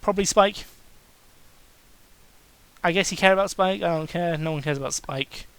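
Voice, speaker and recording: A man's voice: high voice, normal speed, fairly bright tone, clean tone, dry recording. A man doing a dramatic reading of fan fiction, breaking off into sarcastic, sweary asides.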